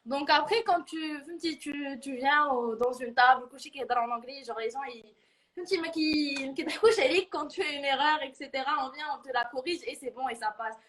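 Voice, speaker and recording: Woman, early twenties, Moroccan accent, high pitch, casual interview chat, slangy, giggly. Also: normal speed, fairly bright tone. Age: early 20s. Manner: informal, giggly, peppers talk with slang and fillers. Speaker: international university student giving a testimonial.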